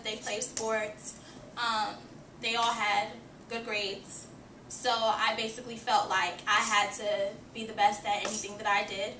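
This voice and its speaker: A woman's voice: a little high, pushed and bright. A young woman from Haiti, a student giving a prepared personal introduction speech to her class in an earnest, self-reflective way. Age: young adult. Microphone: far camera. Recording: echoey classroom from far off with noise.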